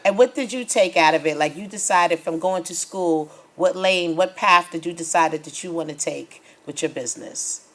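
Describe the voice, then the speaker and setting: A woman's voice: on the low side, moderate pace. A woman hosting a conversational interview, asking questions and responding in an encouraging, affirming way. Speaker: show host, interviewer.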